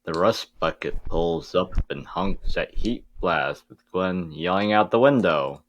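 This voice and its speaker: A man's voice: low-pitched, animated, unhurried, no echo, dull audio. A man reading stage directions as the narrator of an amateur table read.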